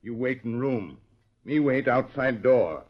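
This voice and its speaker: A stern loyal native sidekick in an old radio western, halting clipped broken english, dull old recording. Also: male voice, mid-range voice, expressive. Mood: stern, commanding. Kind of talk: 1940s radio acting.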